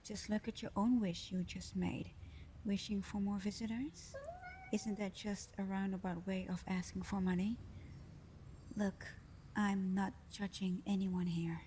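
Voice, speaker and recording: A mischievous, ancient female genie acted by a woman speaks in a soft, mellow voice at an unhurried pace. She is flirty, sly and teasing, playing directly to the listener in an ASMR roleplay. Noticeable background noise sits under the voice. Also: medium pitch, steady tone, lightly acted. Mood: knowing, smug. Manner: teasing, seductive, slow and playful, often dropping to whispers.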